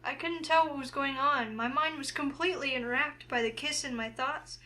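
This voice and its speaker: A woman's voice: sits high, normal speed. A woman playing a feisty, easily flustered young heroine in a visual novel, speaking expressively. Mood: breathless, reflective. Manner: expressive, indignant when provoked. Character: feisty, flustered young woman narrating her own romance.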